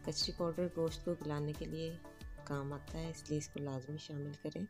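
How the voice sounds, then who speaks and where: Low-voiced woman calmly guiding a recipe. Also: music in the background. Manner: calm, instructive, unhurried. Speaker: cooking channel host. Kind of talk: step-by-step recipe voice-over. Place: home kitchen.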